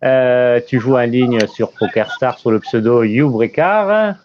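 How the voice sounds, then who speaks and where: Amateur host: man, halting and filler-heavy, a muffled video-call line with faint hiss. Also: mid-range voice. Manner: hesitant, many fillers, friendly laughter. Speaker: interview host, channel creator. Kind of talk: casual video-call interview.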